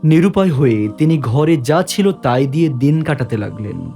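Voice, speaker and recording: A man's voice: medium pitch, lively intonation, normal speed, gravelly, dark tone. A man narrating a scripted story as its narrator. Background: background music.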